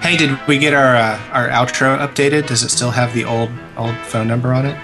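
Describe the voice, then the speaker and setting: A man's voice: mid-range voice, steady tone, fairly quick, over music. A man co-hosting a podcast, chatting casually with a wry, joking manner. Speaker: podcast co-host. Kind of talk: casual chat, anecdotes.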